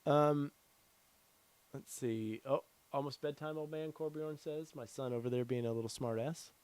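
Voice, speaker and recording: A man's voice: medium pitch, moderate pace, darkish tone, clear, resonant tone, dry recording. Middle-aged man, casual live-stream host, tired fraying voice, dry close mic. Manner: rambling, many fillers, reads chat aloud. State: losing his voice. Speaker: podcast host. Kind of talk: casual live-stream chat reading viewer comments.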